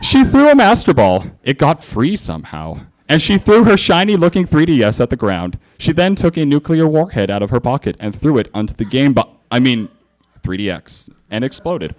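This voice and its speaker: Animated, sardonic man reading aloud. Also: mid-range voice, moderate pace. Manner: theatrical, sardonic, with mocking asides. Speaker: fan fiction reader at a convention. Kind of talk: live comic dramatic reading.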